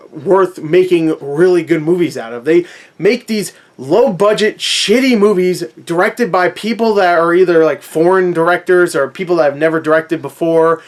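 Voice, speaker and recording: Male vlogger, high-pitched voice, conversational and opinionated rant delivery, sarcastic with swearing. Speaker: movie review vlogger.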